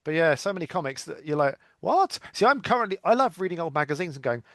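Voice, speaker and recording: Animated, higher-pitched man chatting. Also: fast, dry recording, clean studio sound. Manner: animated, acts out reactions. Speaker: podcast panellist. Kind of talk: casual chat.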